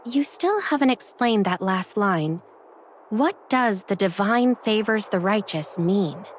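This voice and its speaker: A woman's voice: medium pitch, expressive, takes it slowly, slight background noise. A woman voicing a skeptical young protagonist in an anime game, guarded and questioning in manner.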